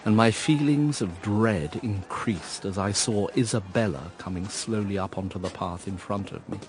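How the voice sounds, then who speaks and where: An actor playing a brooding, wistful man who narrates a radio drama in a measured, reflective way. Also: lowish voice, natural voice, low-quality recording. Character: brooding, wistful male narrator looking back on lost love.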